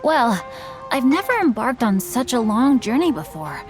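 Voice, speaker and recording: A woman's voice: fairly high pitch, very animated, normal speed, soft background music. A woman voicing a polite, bookish youth in a video game, with refined, formal and courteous speech. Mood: composed, genial.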